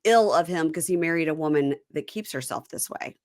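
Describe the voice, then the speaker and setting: A woman with a lowish voice talks through a reality show episode on a podcast, animated and gossipy, slipping into mocking impressions of the people on screen. The sound is dry and close. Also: fairly quick, dry recording. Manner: animated, acts out cast members' lines in mocking voices. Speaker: podcast host. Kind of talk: casual reality TV recap chat.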